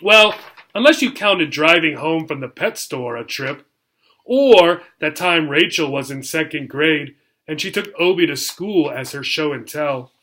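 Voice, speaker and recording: A man working as a teacher, reading a children's chapter book aloud in an expressive, explanatory way and checking in with listeners. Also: on the high side, unhurried. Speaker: teacher reading a story to learners.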